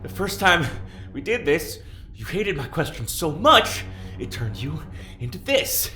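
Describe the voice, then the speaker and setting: Actor doing a tired sardonic guy, halting, tense bright voice, echoey, radio play. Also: fairly high pitch, very animated, faint music behind, exaggerated voice. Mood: weary. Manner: halting, weary. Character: exhausted, sardonic man, short of air. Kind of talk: audio drama acting.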